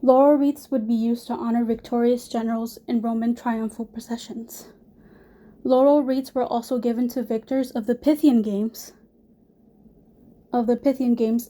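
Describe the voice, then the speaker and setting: Hoarse young woman giving a class talk. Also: fairly high pitch, mellow. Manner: reads prepared notes with small restarts. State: hoarse from a cold. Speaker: student presenter. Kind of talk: class presentation.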